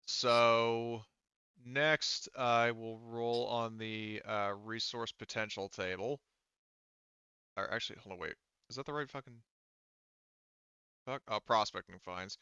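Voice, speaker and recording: Bright-voiced man hesitantly running a tabletop game. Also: mid-range voice, fairly even intonation, slow, pauses often, clear, resonant tone, dry recording. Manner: hesitant, thinking aloud. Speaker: game master. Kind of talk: casual tabletop game refereeing. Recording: band-limited, dull dry audio.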